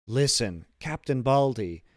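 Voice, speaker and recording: A man's voice: medium pitch, animated, unhurried, no room sound, clean studio sound. A man voicing a kind-hearted, loyal sailor in audiobook dialogue. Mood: decisive. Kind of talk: audiobook character dialogue, literary.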